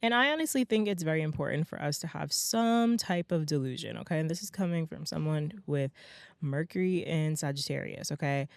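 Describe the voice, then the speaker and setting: A young adult woman hosting her own podcast in a casual, motivational monologue. Her voice is slightly hoarse and raspy, and she talks emphatically, often tagging lines with "right?" and "okay?". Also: sits low, animated, fairly quick, no room sound. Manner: chatty, emphatic, peppers talk with "right?" and "okay?". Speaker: podcast host.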